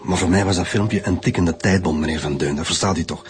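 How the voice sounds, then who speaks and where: A man's voice: sits low, quick pace, close to an everyday voice. An actor with a Flemish accent playing an articulate, bitter male lecturer confessing to murder in a radio drama. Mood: bitter confession. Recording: music behind the voice.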